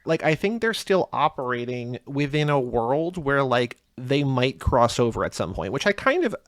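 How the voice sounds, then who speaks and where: A man's voice: medium pitch, very animated, normal speed, no echo, studio quality. A man in a casual podcast chat, rambling through his points with many fillers.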